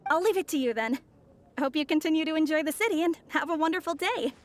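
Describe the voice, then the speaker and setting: Actress as a mysterious foreign traveler: high, soft, courteous, measured. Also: female voice, slight background noise, close to an everyday voice. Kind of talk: video game voice acting.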